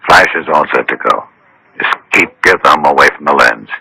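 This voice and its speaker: Gravelly deep-voiced grumbling newsman. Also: lively intonation, moderate pace, pushed and bright, no room sound, exaggerated voice, rough recording. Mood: grudging. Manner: wry, complaining, casual. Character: grumbling newspaper photographer playing reluctant decoy. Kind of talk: radio drama acting.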